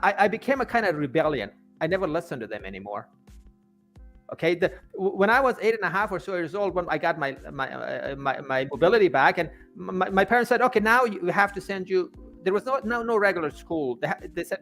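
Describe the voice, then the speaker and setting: Halting, high-voiced man, native Farsi speaker. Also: fairly bright tone. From Afghanistan. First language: Persian (Farsi). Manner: halting, stammering repetitions of words. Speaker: interview guest. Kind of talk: personal storytelling in an interview.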